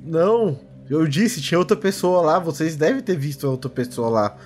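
A man's voice: on the high side, animated, moderate pace, a little mellow, soft background music, close to an everyday voice. A man playing a nervous office worker questioned as a witness, hesitant, stammering and repeating his words in a tabletop game. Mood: anxious, hesitant.

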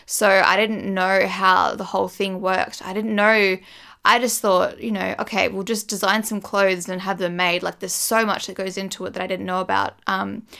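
A woman in her thirties talks casually to her camera, rambling and restarting as she goes, with light laughs here and there. Her voice is smooth underneath, just a little croaky from the morning, and sits at an ordinary middle pitch. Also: a bit bright. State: slightly croaky voice. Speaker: beauty vlogger and brand founder.

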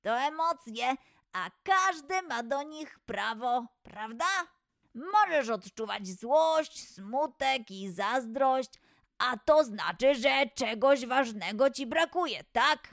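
A woman playing a caring, patient young male animal friend in a children's storybook, speaking in a gentle, reassuring, measured way. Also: high-pitched, animated, no echo, studio quality, full character voice. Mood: gentle, understanding.

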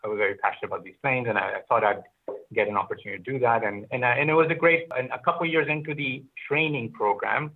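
Middle-aged man with slightly gravelly voice. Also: medium pitch, fast talker, phone-quality sound. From Pakistan, grew up in Oman. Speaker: interview guest, business founder. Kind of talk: conversational personal storytelling.

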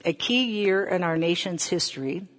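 An American woman in her fifties from the South, a preacher telling personal stories in a deliberate manner and putting questions to her listeners. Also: fairly low pitch, lively intonation. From Southern United States. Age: 50s. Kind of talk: sermon with personal storytelling. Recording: echoey hall with long reverb.

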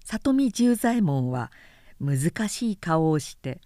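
A woman reading literary fiction aloud as an audiobook narrator, with measured and carefully enunciated delivery. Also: on the low side, very animated, dark tone, no echo, studio quality.

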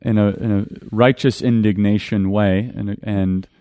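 Low-voiced male host asking questions. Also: fairly even intonation, unhurried, warm tone, no room sound, studio quality. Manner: probing questions. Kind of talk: interviewing a panel.

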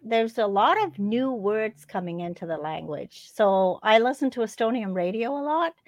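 A woman's voice: medium pitch, animated, normal speed, dry recording. A middle-aged woman talking in a casual, easygoing, conversational way. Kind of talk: casual chat.

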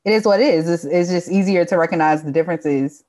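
A woman with a Southern American accent, a guest in a casual podcast chat. Her voice is somewhat low for a woman, and she talks expressively and laughs easily. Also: fast, a little mellow.

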